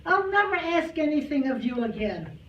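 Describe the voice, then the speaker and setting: A woman's voice: high-pitched, very animated, moderate pace, fairly bright tone, lo-fi sound, voice pushed up. A woman voicing a frantic, melodramatic grandmother crying out to heaven in a staged story reading. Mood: frantic pleading. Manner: imploring, melodramatic. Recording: distant mic, echoey hall, noisy.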